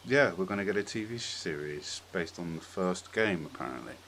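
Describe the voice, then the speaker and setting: A man's voice: low-pitched, expressive, moderate pace, a bit bright. A man hosting a podcast, chatting casually and informally with strong opinions. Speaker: podcast host. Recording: clearly audible background noise.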